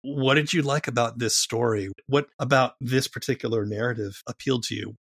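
A man's voice: mid-range voice, fairly even intonation, fairly quick, noisy background. A man hosting a conversational interview, asking questions casually as the interviewer. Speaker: interviewer, host.

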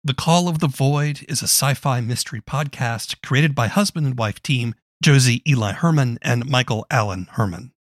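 A man's voice: mid-range voice, normal speed, hardly any pauses, no echo. A man hosting a podcast, reading scripted narration into a close mic. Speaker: podcast host.

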